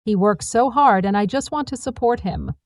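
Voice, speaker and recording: A woman's voice: a little high, normal speed, dry recording, clean studio sound, lightly acted. A woman narrator voicing a young, manipulative daughter-in-law with a sugary, over-polite manner in a drama story. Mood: pleading.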